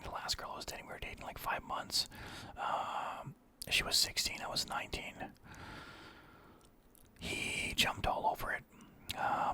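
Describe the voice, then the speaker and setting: A man telling a personal story casually in a breathy whisper, animatedly acting out both sides of conversations. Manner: animated retelling with quoted dialogue, swearing freely.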